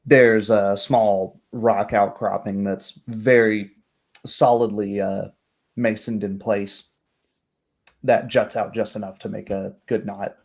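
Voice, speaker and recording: A man narrating a tabletop roleplay game as game master, descriptive and somewhat halting. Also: on the low side, little pitch movement, a little mellow.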